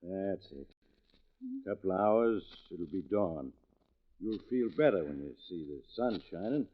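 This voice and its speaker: Expressive old-time radio sheriff. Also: male voice, low voice, unhurried. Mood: soothing, weary. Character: small-town sheriff, protective, then gasping and mortally wounded. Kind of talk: 1940s radio acting. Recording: dull vintage 1940s radio recording.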